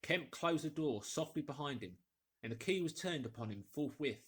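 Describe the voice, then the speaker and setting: A man's voice: a little high, lively intonation, moderate pace, fairly bright tone, slightly rough. A man reading a story aloud as a scripted, dramatic audiobook narrator.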